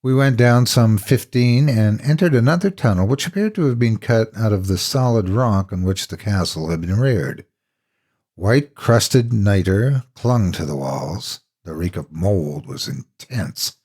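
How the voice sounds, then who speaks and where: Husky guy reading a scary story, very dramatic and ominous, voice swoops up and down, takes it deliberately. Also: fairly low pitch, animated, a little mellow. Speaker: horror story narrator. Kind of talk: dramatic scripted horror narration.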